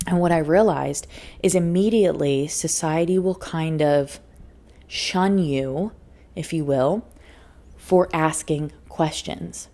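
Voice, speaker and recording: Earnest woman podcaster, slightly low voice. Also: slow, warm tone. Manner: earnest, emphatic, laughs often; repeats phrases for emphasis. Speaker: podcast host and life coach. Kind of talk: solo motivational podcast talk.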